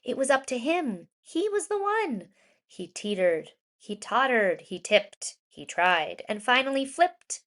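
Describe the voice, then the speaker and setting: Slow, high-voiced woman reading a children's story. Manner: expressive, deliberate storytelling with emphasized words. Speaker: children's storybook reader, educator. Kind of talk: dramatic read-aloud of a rhyming children's story.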